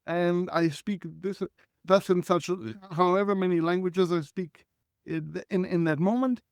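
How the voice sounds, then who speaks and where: A man whose first language is Hebrew, telling his story in a reflective, articulate way with frequent restarts and throat clearing. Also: sits high, unhurried, dry recording, studio quality. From Israel. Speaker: guest. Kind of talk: conversational storytelling.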